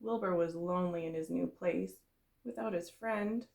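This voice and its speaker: Creaky-voiced woman reading a children's story. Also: on the low side, moderate pace. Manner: expressive, explanatory storytelling for children. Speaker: kindergarten teacher reading a story aloud. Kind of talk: storybook read-aloud for young children.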